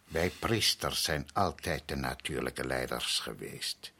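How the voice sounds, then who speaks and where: An actor playing a proud island head priest in a radio drama, courteous and measured yet stubborn, with a husky voice. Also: male voice, very deep voice, bright, forceful tone, exaggerated voice. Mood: indignant. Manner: measured, courteous, evasive, insistent.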